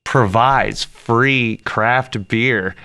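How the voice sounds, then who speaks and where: A man's voice: medium pitch, drawn-out words, bright, forceful tone, smooth. A man in his thirties hosting a podcast, talking in a laid-back way and swearing freely. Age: 30s. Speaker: podcast host. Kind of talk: casual podcast chat. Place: band rehearsal room. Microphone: headphones and boom mic.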